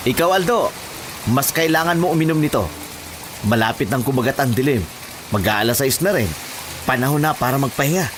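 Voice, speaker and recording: A man's voice: medium pitch, brisk, long pauses, voice pushed up, close to an everyday voice. A man playing a coaxing, commanding villager at a burial in a horror story, with rain behind the voice. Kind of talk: horror story character acting. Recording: clear rain and thunder noise behind.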